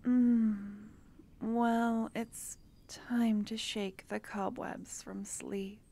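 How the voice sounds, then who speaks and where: A woman playing a young female court necromancer who is tenderly affectionate and playful with her lover, speaking softly and often in a whisper for an ASMR roleplay. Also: a little high, flat delivery, very slow, exaggerated voice. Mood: tender, teasing. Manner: soft, intimate, often whispered.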